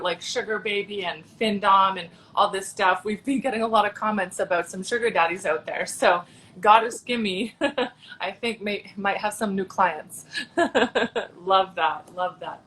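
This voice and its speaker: A woman's voice: mid-range voice, moderate pace, fairly bright tone, slight background noise. A woman hosting a casual live-stream interview, chatty and gushing, laughing often. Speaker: host.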